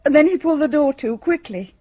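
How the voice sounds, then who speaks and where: An actress playing an agitated, guilt-stricken society woman in a radio drama, halting and confessional. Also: high voice, expressive, no echo. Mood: guilty, confessing. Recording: dull, boxy radio drama recording.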